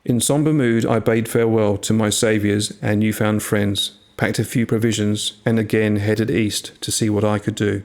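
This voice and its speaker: A man reading a scripted first-person tale in a measured, literary storytelling manner. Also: fairly low pitch, level tone, a little mellow. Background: music.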